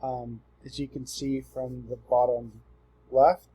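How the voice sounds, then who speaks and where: A man in his twenties from Southern California, giving a relaxed, earnest self-introduction for a class assignment. He often pauses with ums and restarts his sentences. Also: medium pitch, breathy edge, rough recording. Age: 20s. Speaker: student presenting himself.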